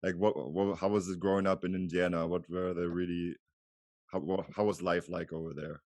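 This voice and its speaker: A man's voice: low-pitched, flat delivery, a little fast, background noise. A man in his thirties from Germany, a native German speaker, hosting a podcast and interviewing casually.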